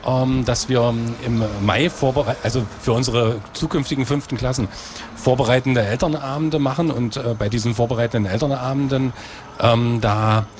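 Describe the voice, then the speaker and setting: A man's voice: medium pitch, slight background noise. A man, a school head, answering interview questions in a conversational way, explaining at length.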